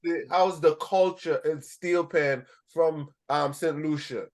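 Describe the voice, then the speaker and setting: A man's voice: a little high, steady tone, slow pace, a little mellow. A man doing a casual interview, encouraging and putting in short agreements.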